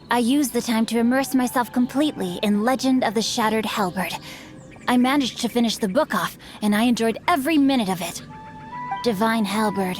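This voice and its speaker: A woman's voice: on the high side, lightly acted. A woman playing a bookish young nobleman in a video game, speaking formally and theatrically with a self-satisfied air. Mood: smooth, self-satisfied, then enraptured, refined. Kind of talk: video game character acting.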